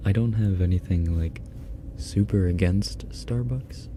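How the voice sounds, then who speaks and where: A man streaming ASMR, chatting casually and softly with viewers, often dropping into a whisper, on a binaural microphone. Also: deep voice, background noise. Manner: soft-spoken, often whispering, chatty and self-deprecating. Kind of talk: casual ASMR livestream chat reading donations.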